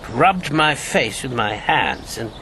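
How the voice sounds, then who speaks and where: An actor playing a bitter, haunted older former secret agent in a radio drama, wry and brooding. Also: male voice, medium pitch, animated, slow, crowd noise, poor audio. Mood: haunted, reflective.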